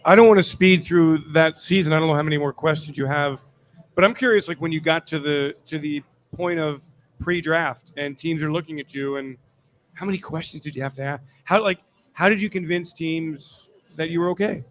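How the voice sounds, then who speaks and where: A man's voice: fairly high pitch, a little fast, a bit bright, slight background noise. A man interviewing casually on a sports radio show. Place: live radio show at a casino.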